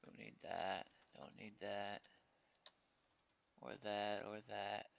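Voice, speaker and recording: A man's voice: fairly low pitch, flat delivery, slow pace, vocal fry, fairly bright tone, clear, resonant tone. A man streaming as he programs a game mod, casually thinking aloud and muttering to himself in short fragments. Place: indoors at a computer desk.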